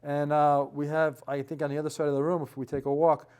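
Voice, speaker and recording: Quick-talking male interviewer. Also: on the high side, little pitch movement, darkish tone, clean tone. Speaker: interviewer for an audio channel. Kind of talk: casual on-location interview. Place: exhibition room at an audio show.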